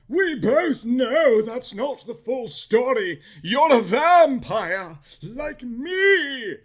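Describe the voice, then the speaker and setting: A man's voice: extremely high pitch, sing-song, drawn-out words, pitched above the performer's own voice, heavily acted. A man voicing a lovesick, mournful teenage vampire boy in florid, mock old-fashioned speech for a parody story. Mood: insistent. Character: lovesick archaic-talking male teen vampire, melodramatic and mournful.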